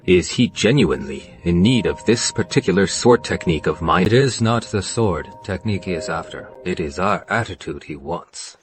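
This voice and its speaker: A man playing a deep-voiced scheming sect master. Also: animated, moderate pace, hardly any pauses. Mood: calculating. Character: cold calculating male pavilion master. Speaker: sect leader. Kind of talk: anime English dub.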